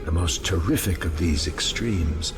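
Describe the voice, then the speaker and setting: A man playing a grave, ominous gothic horror narrator, giving a solemn, drawn-out literary recitation. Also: sits low. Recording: loud music under the voice.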